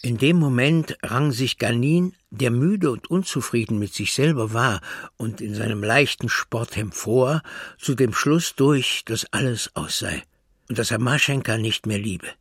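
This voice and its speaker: Gravelly, husky male literary narrator. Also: mid-range voice, measured pace, no echo, studio quality. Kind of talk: scripted narration of a literary radio drama.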